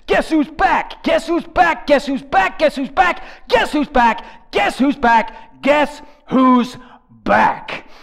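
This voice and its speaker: A young man performs song lyrics as over-the-top drama, swinging between shouts and hushed low mutters. His voice sits high and carries a gravelly rasp. The pitch leaps all over from line to line. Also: expressive, takes it slowly, faint music behind. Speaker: comedic dramatic reader of rap lyrics.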